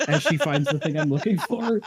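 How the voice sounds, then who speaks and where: A man's voice: on the high side, very animated, brisk. A man joining a game stream as a guest, joking around and teasing himself in casual chat. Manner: joking, self-mocking banter. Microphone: voice chat call.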